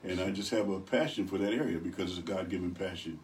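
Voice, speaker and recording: Deep-voiced older man, distant mic. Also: fast, darkish tone. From Rochester, New York. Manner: good-humored, rambling storyteller. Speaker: evangelist guest. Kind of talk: radio interview. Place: radio studio.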